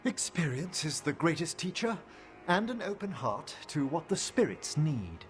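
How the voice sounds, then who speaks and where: Game role: scholarly necromancer gentleman, formal and earnest, very varied intonation, music underneath. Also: a little high, big pitch swings, unhurried. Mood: composed, fascinated. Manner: formal, eloquent, careful wording. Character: refined, earnest scholarly male necromancer professor. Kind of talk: video game dialogue acting. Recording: music behind the voice.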